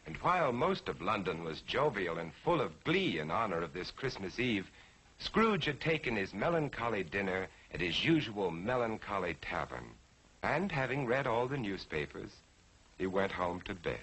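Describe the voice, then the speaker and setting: A man's voice: on the low side, big pitch swings, moderate pace, fairly bright tone, slightly rough. A man narrating a classic story in the dramatic storytelling style of 1940s television. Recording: faintly noisy old 1940s TV soundtrack.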